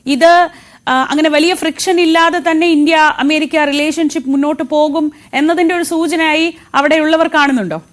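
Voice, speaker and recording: A woman's voice: high-pitched, steady tone, moderate pace. A woman anchoring live news and putting questions to a correspondent.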